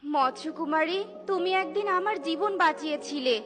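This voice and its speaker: Lady playing kind cloud fairy in a cartoon, high voice, reassuring, music going behind. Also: moderate pace, fairly bright tone. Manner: reassuring, solemn. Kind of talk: dramatic cartoon fairy-tale dialogue.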